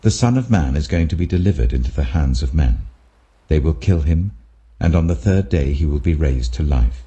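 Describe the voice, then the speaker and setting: A British man voicing Jesus as an authoritative, earnest teacher, deliberate and emphatic. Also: a bass voice, animated, mellow, husky, dull audio, exaggerated voice.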